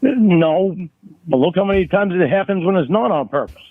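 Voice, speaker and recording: A man in a recorded phone argument, talking in a halting, defensive way and repeating words as he restarts. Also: high-pitched, lively intonation, no echo. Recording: tinny phone line recording.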